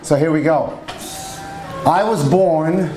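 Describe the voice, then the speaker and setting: A man's voice: on the high side, slow pace, dark tone, soft background music. A man in his sixties, a rabbi born in Egypt, telling his life story to an audience in a conversational storytelling manner. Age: 60s. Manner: storytelling, conversational with Hebrew terms. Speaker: rabbi and lecturer. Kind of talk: personal talk to an audience. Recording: echoey hall with faint ambience.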